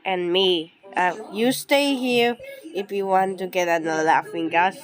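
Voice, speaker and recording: A woman voicing an excitable, bossy cartoon character in amateur animated dialogue. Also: lowish voice, a little slow, a loud crowd, close to an everyday voice. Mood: bossy, urgent.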